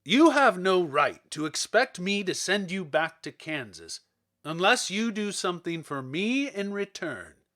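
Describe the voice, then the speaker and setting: A man voicing a great disembodied wizard's head in a storybook, loud and booming, stern and commanding in a slow, emphatic way. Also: sing-song, heavily acted. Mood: commanding, bargaining. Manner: imperious, emphatic, deliberate. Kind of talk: dramatic storybook character reading.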